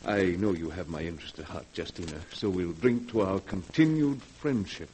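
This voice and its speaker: An actor playing an unstable, secretive gentleman recluse with formal, theatrical manners in a 1940s radio horror drama. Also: low voice, moderate pace, lightly acted. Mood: smoothly courteous. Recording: noisy old 1940s radio recording.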